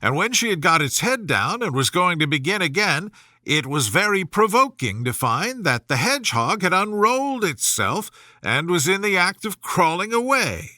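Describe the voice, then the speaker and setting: A man reads a children's story as narrator, expressive and animated. His voice is fairly high and very bright, recorded close and dry. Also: normal speed, no room sound.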